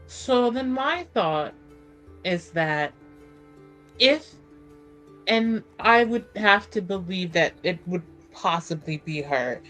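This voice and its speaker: A woman's voice: on the low side, expressive, takes it slowly, long pauses, a bit bright, faint music behind, rough recording. A woman casually talking through events as a player in a tabletop roleplaying game.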